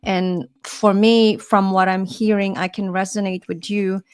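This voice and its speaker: Earnest, expressive woman co-host. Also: medium pitch, a little slow, clean tone. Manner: earnest, flowing and expressive, with frequent "you know" and light laughs. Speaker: podcast co-host. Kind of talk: reflective conversational talk.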